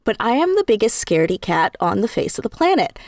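A woman speaking casually as an interview guest, telling things in an animated, emphatic, self-deprecating way. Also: mid-range voice, fast, no echo, studio quality. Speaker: interview guest, audio drama writer.

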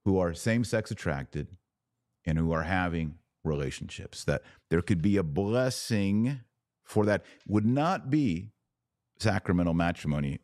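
A man's voice: deep voice, darkish tone, no room sound, clean studio sound. An American man giving emphatic live opinion commentary as a podcast host, speaking to his viewers and repeating phrases for stress.

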